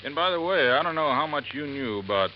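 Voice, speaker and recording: A man's voice: fairly high pitch, animated, fast talker, close to an everyday voice. An actor playing a folksy resort handyman in a 1950s radio drama, talking in a rambling, plainspoken drawl. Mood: earnest, folksy. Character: folksy middle-aged male desert resort handyman. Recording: muffled old radio recording with faint hiss.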